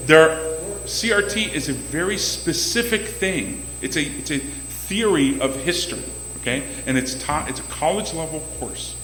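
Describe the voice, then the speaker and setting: A man running for city council, answering audience questions at a community meeting in a loud, emphatic way and often repeating words as he starts a sentence. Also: on the high side, expressive, a little slow. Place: banquet room at a community meeting. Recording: echoey hall with faint hum.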